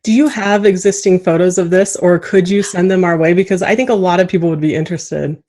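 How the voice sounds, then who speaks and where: A woman's voice: on the low side, steady tone, fast talker, a little mellow. A woman hosting a casual podcast interview in an enthusiastic, effusive way. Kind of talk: casual friendly video interview. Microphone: USB desktop microphone.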